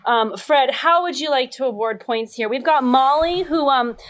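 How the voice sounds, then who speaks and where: A woman's voice: high-pitched, moderate pace. A woman chatting casually and comedically as a podcast panelist, in a rambling way.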